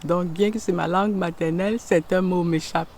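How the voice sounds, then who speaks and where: A woman giving a tarot reading straight to the viewer, gentle and unhurried, often pausing and searching for words. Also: on the low side, fairly even intonation, slight background noise. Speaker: tarot reader.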